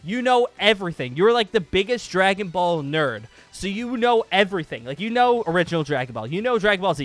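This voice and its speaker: A man hosting a casual, excited gaming vlog, rambling along and repeating phrases for emphasis. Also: high voice. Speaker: YouTube host pitching a gaming roleplay series. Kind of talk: casual excited vlog chat over gameplay.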